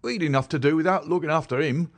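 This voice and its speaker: A rough constable , put on by a man reading the book, talks loud and raised in pitch, full of grievance and rustic grammar. His voice swings a lot as he tells his tale, in every line. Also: expressive, moderate pace. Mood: indignant. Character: rough working-class male London police constable, defensive. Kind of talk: audiobook character voice.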